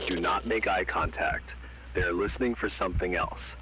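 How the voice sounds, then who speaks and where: A man voicing a grim emergency broadcast announcer who gives flat, ominous, commanding warnings. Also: sits low, moderate pace, a loud crowd, lightly acted, rough recording. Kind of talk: analog horror warning broadcast.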